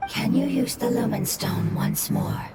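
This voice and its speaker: Soft whispering kindly spirit. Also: female voice, mid-range voice, expressive, unhurried, heavy background noise. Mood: soothing. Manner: soft, often whispered, soothing. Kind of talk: video game cutscene dialogue. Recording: distant and echoey with loud music.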